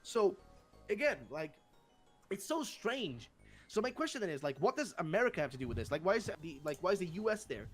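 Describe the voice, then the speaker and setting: A man's voice: sits high, very animated, fairly quick, a bit bright, soft background music. A young man with a Swedish accent, hosting a casual discussion and rambling with many fillers and restarts. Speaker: host.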